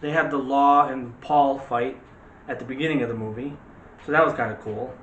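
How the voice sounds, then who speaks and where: A man's voice: mid-range voice, steady tone, normal speed, a bit bright, muffled recording. A man co-hosting a movie discussion, chatting casually in a jokey, digressive way. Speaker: co-host of a movie discussion show. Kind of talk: casual chat. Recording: roomy echo, faint background noise.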